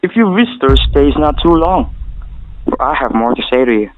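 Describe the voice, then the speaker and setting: A man's voice: mid-range voice, expressive, fairly quick, a little hiss or hum, natural voice. A man playing a proud, idealistic emperor in a stage drama, delivering courtly lines in a grand, formal manner. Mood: proud, resolute. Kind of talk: stylized stage drama recital of poetic lines. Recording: muffled phone-like audio.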